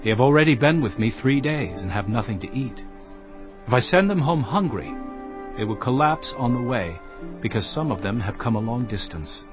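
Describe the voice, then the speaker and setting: Man acting commanding male teacher, audio Bible drama, earnest, deliberate declamation, slightly muffled, faint music behind. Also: mid-range voice, expressive, natural voice. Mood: compassionate.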